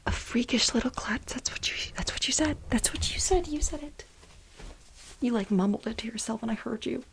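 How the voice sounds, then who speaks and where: A woman playing a flustered, aggrieved female assistant in a soft-spoken ASMR role play, halting and dramatic, repeating herself for emphasis in a breathy whisper. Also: a little high, natural voice. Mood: accusing.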